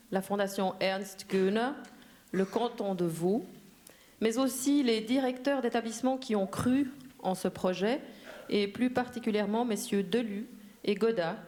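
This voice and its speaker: A woman, a festival organizer, gives a brisk, formal welcome and reads out a list of thanks. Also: mid-range voice, darkish tone. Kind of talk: prepared thank-you speech. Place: cinema hall.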